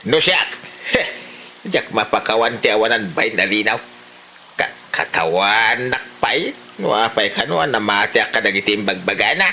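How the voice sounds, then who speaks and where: A very forceful, sharp older village man acted by a man, grumpy and quick to take offence. He grumbles in a northern Philippine accent, in comedy drama style, over faint sizzling noise. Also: on the low side, big pitch swings, heavily acted. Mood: indignant. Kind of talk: Ilocano comedy drama acting.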